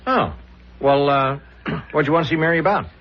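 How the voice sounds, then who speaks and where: A man's voice: fairly high pitch, fairly quick. An actor playing a chatty, eager male newspaper columnist in a 1970s radio drama, folksy and a little hesitant, on a dull old recording. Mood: wary, sheepish.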